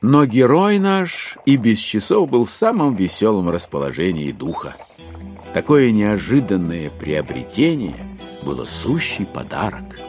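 A man's voice: lowish voice, very animated, unhurried, darkish tone, soft background music. A man acting as the wry narrator of a classic novel in a dramatised audiobook. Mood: wry, storytelling.

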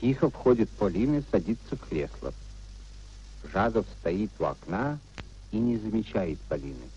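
Stage-direction narrator in vintage radio theatre: calm, mellow, on the low side. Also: male voice, moderate pace, husky. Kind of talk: scripted narration in a 1950s radio play. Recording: muffled 1950s radio play recording.

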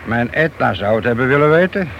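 An actor playing a loyal, steady married policeman in a Dutch radio play, mild and plain-spoken, quietly probing his partner. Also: medium pitch, brisk, creaky, muffled recording. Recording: faintly hissy old radio drama recording.

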